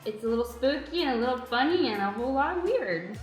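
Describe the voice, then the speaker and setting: Casting: female stage performer, high-pitched, chatting casually to promote a show in a reverberant room. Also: animated, fairly quick. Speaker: improv performer. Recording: echoey room, music behind.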